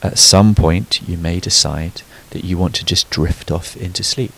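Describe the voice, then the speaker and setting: A man leading a guided sleep meditation, giving soothing, unhurried instructions with frequent pauses. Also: sits low, creaky, a bit bright, a little hiss or hum, poor audio. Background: background music.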